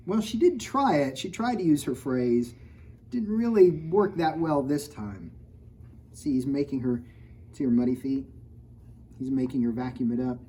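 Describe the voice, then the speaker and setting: A man's voice: mid-range voice, expressive, moderate pace, dark tone, slightly rough. A man reading a picture book aloud to children in a playful, conversational way, breaking off to chat and ask them questions. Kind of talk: animated storytime read-aloud with chatty asides to children.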